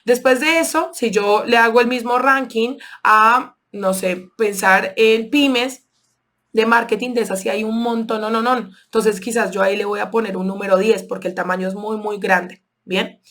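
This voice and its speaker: A woman's voice: on the high side, steady tone, normal speed, a bit bright. A woman from Colombia with a Colombian accent, teaching an online sales course and walking through examples step by step with frequent fillers. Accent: Colombian Spanish. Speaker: sales instructor.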